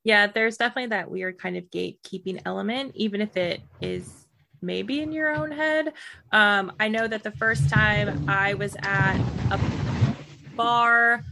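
A woman's voice: mid-range voice, a little slow, creaky, bright, forceful tone. A woman interviewing a guest in a casual chat over a video call, thoughtful and sharing her own experiences. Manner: thoughtful, conversational, relates own stories.

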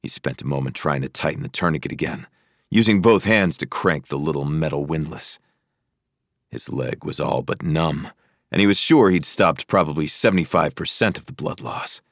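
Deep voiced guy narrating a thriller audiobook, scripted read, super dry sound. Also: normal speed, a bit bright, dry recording.

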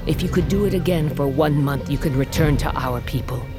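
A ruthless warrior queen of a tribe , played by a woman, speaks in a low, commanding voice. She is cold and imperious even as a mother. Dramatic audio fiction with clear music behind her. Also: a little fast, natural voice.

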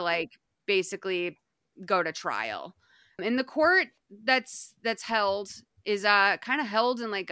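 A woman's voice: medium pitch, smooth, dry recording, studio quality. A woman co-hosting a podcast, recapping a documentary in a rambling, casual way full of fillers. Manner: rambling, filler-heavy, animated. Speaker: podcast co-host.

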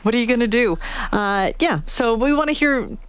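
A Canadian woman chats casually as a radio host. She is talkative and full of animated asides, recorded close in a dry studio. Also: a little high, a little fast, smooth, no room sound. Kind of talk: casual on-air chat. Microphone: close studio radio microphone.

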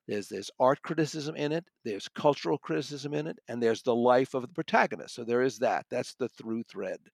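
A man's voice: medium pitch, a little fast, vocal fry, darkish tone, no room sound. Thoughtful man reviewing books, dry close sound. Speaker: audiobook reviewer and magazine contributor. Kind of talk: conversational book review.

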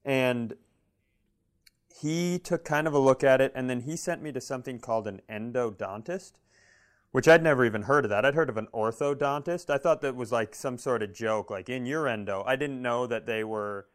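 A male co-host chats on a comedy podcast in a mid-pitched voice. He tells stories loosely and jokes dryly at his own expense. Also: normal speed. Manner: self-deprecating, dryly joking. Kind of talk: casual comic chat and storytelling.

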